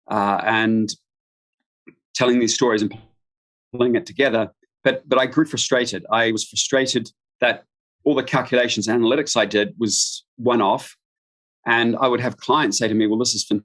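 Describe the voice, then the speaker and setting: A middle-aged man from New Zealand giving an informal live webinar introduction as a business expert, speaking in halting, stop-start phrases. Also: mid-range voice, fairly bright tone. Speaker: guest expert, business founder. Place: room at home.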